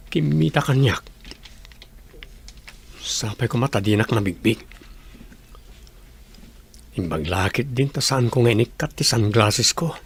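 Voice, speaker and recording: A man playing a secretive, composed well-off gentleman in an Ilocano radio drama, brooding and deliberate. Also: mid-range voice, gravelly.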